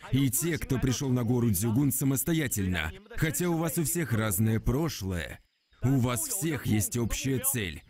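A man voicing a formal, commanding master of a martial selection ceremony in an animated series dub, announcing in declamatory style. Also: medium pitch, close to an everyday voice.

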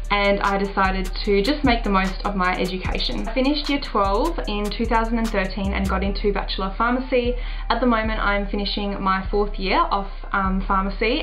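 A woman's voice: medium pitch, a bit bright, low-quality recording. A woman in her early twenties with an Australian accent, a native Serbo-Croatian speaker, chatting casually to camera as a vlogger. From Adelaide, Australia. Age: early 20s. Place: small furnished room at home, indoors.

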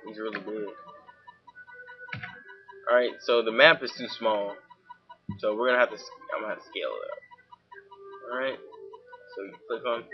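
A young man from Georgia with a Southern accent, talking casually through a software tutorial at his home computer, full of fillers. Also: fairly low pitch, husky, dull audio. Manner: informal, many fillers, talks while clicking. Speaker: tutorial host.

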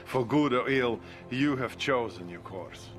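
An actor playing a grave, resigned Soviet officer in a war game drama, speaking in a measured, formal way. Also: male voice, medium pitch, animated, soft background music, close to an everyday voice. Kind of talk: dramatic video game cutscene acting.

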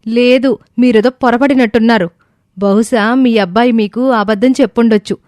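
A woman's voice: on the high side, fairly even intonation, a little fast, darkish tone, no echo, voice pushed up, close to an everyday voice. A woman voicing a younger mother in a story, earnest and pleading, speaking with emphatic insistence. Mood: insistent.

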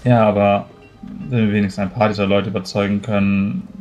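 A man's voice: lowish voice, flat delivery, fairly quick, darkish tone, faint music behind. A man speaking improvised dialogue as a player in a tabletop role-playing game, recorded with a slightly dull sound.

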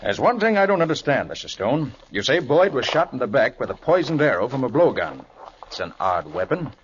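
An actor playing a confident, brisk master detective, asking assured questions in a 1940s radio drama. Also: male voice, medium pitch, sing-song, close to an everyday voice. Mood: inquiring. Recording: muffled, noisy 1940s radio recording.

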